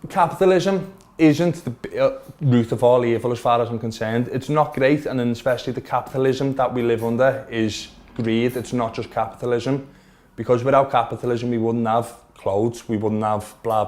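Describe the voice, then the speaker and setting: A man's voice: medium pitch, a little mellow. A man in his twenties with a Liverpool accent, earnestly explaining his views at length in a documentary interview in his shop. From Liverpool, England. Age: 20s. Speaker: vape shop owner. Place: their small shop, indoors.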